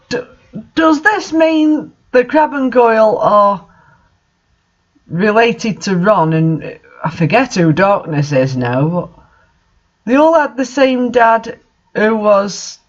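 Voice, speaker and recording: A man with a high-set voice reads and comments in a casual, mocking style, with exasperated disbelief. The recording is dull and lo-fi. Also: lively intonation, takes it slowly, pauses often. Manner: incredulous, exasperated asides. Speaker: reader and commentator of bad fan fiction.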